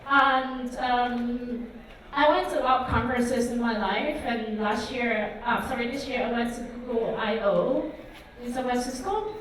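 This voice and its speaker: High-voiced woman in an echoey hall. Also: slight background noise. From Vietnam. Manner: hesitant, many ums and likes. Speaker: conference speaker. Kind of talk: conference talk. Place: conference hall. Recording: echoey hall, mic far off.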